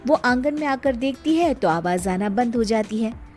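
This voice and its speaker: A woman's voice: a little high, expressive, normal speed, a little mellow, faint music behind. A woman reading scripted story narration as the narrator of a family tale.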